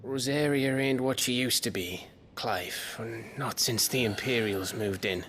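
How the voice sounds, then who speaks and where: Guy acting rough rebel in a fantasy game, medium voice, self-effacing, blunt but fond, bit of background noise. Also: medium pitch, measured pace, fairly bright tone, close to an everyday voice. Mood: cautioning. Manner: colloquial, blunt, gruffly bashful. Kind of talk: dramatic video game cutscene acting. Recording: faint ambient noise behind.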